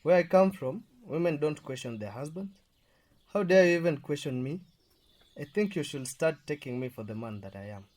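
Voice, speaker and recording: A man's voice: fairly high pitch, expressive, moderate pace, a little mellow, close to an everyday voice. A man playing a domineering, defensive husband in a radio drama, scolding and commanding. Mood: furious, threatening.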